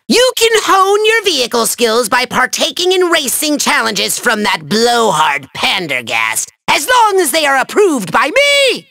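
A man voicing a small, pompous self-styled evil emperor in a video game, with a shrill nasal voice, gravelly edge and bombastic theatrical delivery. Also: sharp tone, echoey room, big-room sound, full character voice. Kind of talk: cartoon villain game voice acting.

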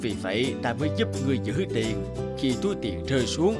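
A man voicing a wise, stern old river god in a cartoon, speaking slowly and deliberately. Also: medium pitch, big pitch swings, over loud music, natural voice. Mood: solemn, benevolent. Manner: slow, deliberate, pronouncing.

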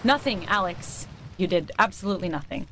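A woman's voice: on the low side, animated, brisk, pushed and bright, slight background noise. Snide, needling teenage girl. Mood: smug, cutting. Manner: sarcastic, dry, goading. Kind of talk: naturalistic video game voice acting.

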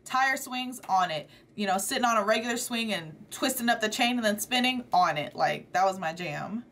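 A woman's voice: medium pitch, animated, moderate pace, a bit bright, a little hiss or hum. A young woman hosting a reaction vlog, chatting casually and swearing freely with plenty of "like". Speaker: reaction video host. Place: small room in front of green screen.

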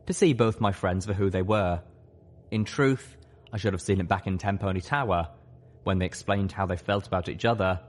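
A man reading scripted first-person fiction as an audiobook narrator. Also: sits low.

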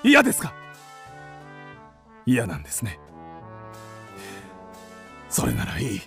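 A man's voice: sits high, very animated, normal speed, vocal fry, a bit bright, husky, soft background music, exaggerated voice. A man playing a blustering, hot-headed creditor given to impulsive declarations in a theatrical radio drama. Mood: ardent.

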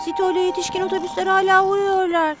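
A woman voicing an eager, naive little boy city bus in a children's cartoon, childlike and expressive. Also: very high voice, quick pace. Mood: pleading, whiny. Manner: childlike, expressive, whiny when pleading. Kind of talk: animated children's cartoon dub. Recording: music behind the voice.

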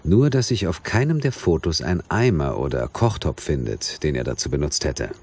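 A stubborn, sardonic detective in an audio drama. The voice is very deep and husky, swinging widely in pitch as he argues his point with dry insistence. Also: expressive, normal speed, a little mellow, exaggerated voice. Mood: dry, insistent. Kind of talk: German audio drama acting.

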